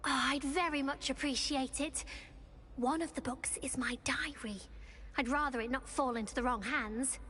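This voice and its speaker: A woman's voice: high voice, moderate pace, sharp tone, a little hiss or hum. A woman voicing a polite, flustered teenage witch student with a British accent in a video game, chatty and apologetic. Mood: hopeful, pleading. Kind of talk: scripted video game dialogue.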